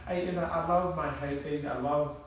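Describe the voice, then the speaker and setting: A man's voice: fairly high pitch, fairly even intonation, a little fast, slight background noise. A middle-aged man with a Nigerian accent, a plastic surgeon who explains emphatically and repeats words while he thinks. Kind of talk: interview on a live stream. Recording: echoey room, mic at a distance.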